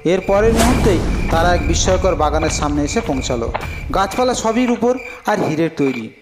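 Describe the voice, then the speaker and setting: A man's voice: high voice, lively intonation, fairly quick. Reverberant male fairy-tale storyteller. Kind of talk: scripted fairy-tale narration. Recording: long reverb, music behind. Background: clear music.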